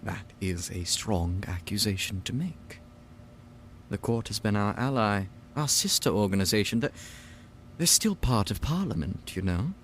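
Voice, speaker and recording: Low-voiced, sneering stern boss. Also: male voice, lively intonation, a little slow, a little hiss or hum. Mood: guarded. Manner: sneering, authoritative, mock-polite. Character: stern, condescending male organization leader with a grudge. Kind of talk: audio drama acting.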